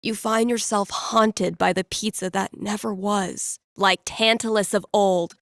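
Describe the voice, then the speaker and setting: A laid-back young female radio host from a video game, chatting with callers in an easy, improvised way. Her pitch sits in the middle for a woman and the sound is dry, with no room on it. She is playful and wry, slangy one moment and mock-dramatic the next. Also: fairly even intonation, a little slow, fairly bright tone, no echo. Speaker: call-in radio show host.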